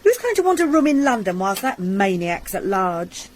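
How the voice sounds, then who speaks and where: An actress playing a sharp-tongued, worried wife who runs a failing lodging house in a radio drama, nagging her husband. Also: mid-range voice, big pitch swings, slight background noise, natural voice. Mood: scolding. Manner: nagging, scolding, brisk. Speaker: wife of Robert Bunting.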